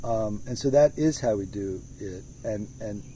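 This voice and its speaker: A man with a lowish, very mellow voice talks through his music at an easy, rambling pace, often circling back and restarting his sentences. He is recorded outdoors in the countryside, with crickets faintly audible behind him. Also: measured pace, slight background noise, poor audio. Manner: thoughtful, rambling, many restarts. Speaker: musician interviewee. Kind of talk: relaxed conversational interview.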